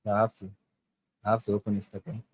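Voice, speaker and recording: A man with a Nigerian accent hosting a live online chat, deadpan and sarcastic, often repeating his phrases. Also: fairly low pitch, fast talker, husky, no echo. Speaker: host. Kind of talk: casual live-stream chat. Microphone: online call.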